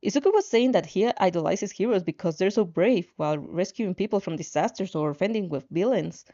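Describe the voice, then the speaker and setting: Woman playing an anxious, hesitant young hero trainee, lively pitch. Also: a little fast, highs cut off. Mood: worried, doubting. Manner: hesitant, stammering, worried. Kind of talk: fan fiction character reading.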